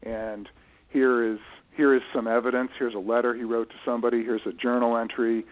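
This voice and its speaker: A man giving thoughtful, explanatory interview answers in a halting way, often restarting and correcting himself as he goes. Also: medium pitch, flat delivery, phone-quality sound. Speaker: guest, writer managing his late father's literary estate. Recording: band-limited radio-style voice recording.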